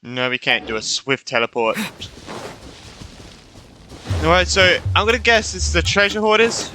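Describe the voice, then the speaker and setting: A man's voice: high voice, big pitch swings, measured pace, sharp tone, slight background noise. A man giving casual, reactive commentary while playing through a video game. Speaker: gamer playing through a story quest. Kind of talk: casual playthrough commentary, reacting and reading along.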